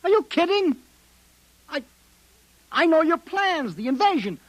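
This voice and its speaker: An actor playing an agitated, melodramatic man in a 1950s radio drama, pleading to be believed, with a husky voice. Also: very high voice, sing-song, unhurried, heavily acted. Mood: suspicious, incredulous. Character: frantic, disbelieved man warning of alien invasion.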